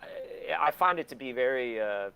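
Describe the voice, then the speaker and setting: A man's voice: mid-range voice, animated, normal speed, vocal fry, clear, resonant tone, lo-fi sound. A man in his forties talking candidly and casually as an interview guest, blunt and opinionated, swearing often. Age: 40s. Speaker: interview guest, record label and museum owner.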